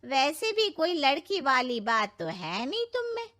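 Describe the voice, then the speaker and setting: Woman doing a naggy middle-aged mother-in-law, scolding, slow pace, lively pitch. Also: heavily acted. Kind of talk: Hindi family story dramatization.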